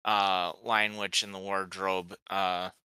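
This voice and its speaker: A man co-hosting a podcast, talking slowly and deliberately with fillers in casual chat. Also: low voice, level tone, pushed and bright, clear, resonant tone, no echo. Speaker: podcast co-host.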